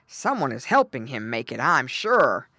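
A fat, placid papa pig from a children's storybook, voiced by a woman. He is sensible and measured, in a bright voice with lively inflection. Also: rumbling low, husky, no room sound, clean studio sound, pitched below the performer's own voice, full character voice. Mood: considering.

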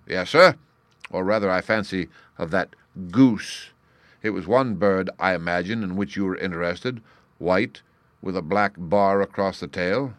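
A man's voice: deep voice, measured pace, no echo. A man voicing a nervous, cringing little guilty hotel attendant in a storybook reading, agitated, deferential and pleading. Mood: anxious.

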